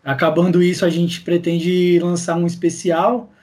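A Brazilian man speaks as a guest in a relaxed, informal interview. His voice sits a little higher than average. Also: moderate pace, a crowd in the background. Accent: Brazilian Portuguese. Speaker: podcast guest, audiodrama creator. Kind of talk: casual interview chat.

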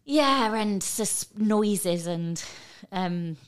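A woman's voice: mid-range voice, lively intonation, drawn-out words, clear, resonant tone. A woman co-hosting a podcast, chatting enthusiastically about a film, with lots of fillers and restarts. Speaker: podcast co-host. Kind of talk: casual film discussion.

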